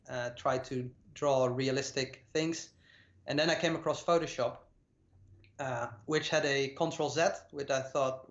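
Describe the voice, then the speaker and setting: Man, late 20s, Dutch accent, hesitant, lots of fillers, roomy echo, muffled lo-fi sound. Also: mid-range voice. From Netherlands. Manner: hesitant, many uh fillers and repeated words. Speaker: guest compositing artist. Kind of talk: casual talk about his career. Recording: echoey, dull lo-fi sound.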